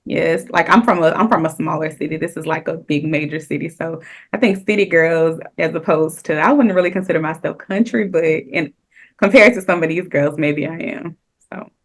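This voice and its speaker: A woman's voice: deep voice, moderate pace. A woman from Louisiana on a podcast panel, thinking aloud and hedging her answers. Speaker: podcast guest. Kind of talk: casual panel chat.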